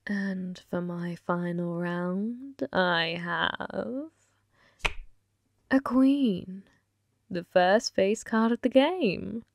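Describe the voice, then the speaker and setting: A woman voicing a flirty, possessive female demon gambler in an ASMR roleplay, breathy and often whispering, teasing with sweet menace. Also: mid-range voice, no room sound, clean studio sound. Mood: smug, flirty. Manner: slow, teasing, calls listener love. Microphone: close microphone, dry.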